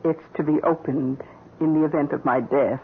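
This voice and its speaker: A woman playing a shrewd, scheming middle-aged housekeeper in a radio drama, with deliberate, insinuating phrasing. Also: deep voice, steady tone, natural voice. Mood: coolly coaxing. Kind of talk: 1970s radio drama acting. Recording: narrow-band old radio recording, faint hiss.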